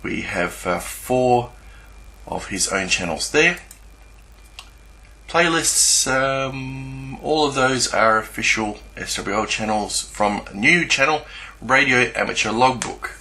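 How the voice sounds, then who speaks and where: A man's voice: medium pitch, slow pace, bright, forceful tone. A man giving an unscripted screen walkthrough, hesitant and often searching for words as he goes. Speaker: YouTuber presenting a screen walkthrough. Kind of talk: unscripted screen-share commentary.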